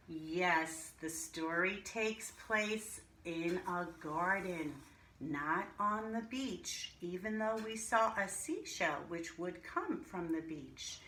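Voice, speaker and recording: A woman teacher reading and explaining to young children in simple, deliberate language with questions. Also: medium pitch, pushed and bright. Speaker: early-grade teacher reading a picture book aloud to children. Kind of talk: storybook read-aloud and children's lesson. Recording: roomy echo, clear background noise.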